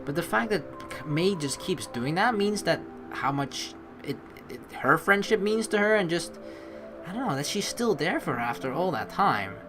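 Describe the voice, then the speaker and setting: A man's voice: fairly high pitch, sing-song. Rambling man doing game commentary over music. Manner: rambling, reflective, halting. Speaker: let's play host. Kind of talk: casual game commentary mixed with reading dialogue aloud.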